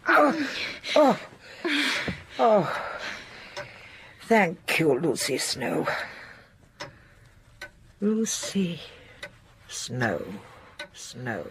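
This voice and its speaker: An actress playing an exacting, irritable, frail elderly spinster in a literary audio drama, imperious and fretful. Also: female voice, a bass voice, heavily acted.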